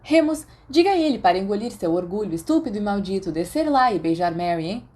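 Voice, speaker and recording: A good-humored, bossy teenage schoolboy with a Brazilian accent, voiced by a woman for an audiobook. He sounds casual, teasing and a little tipsy, in a mellow, soft-toned voice. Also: mid-range voice, animated, fast, natural voice. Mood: tipsy, impatient. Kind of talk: audiobook character dialogue.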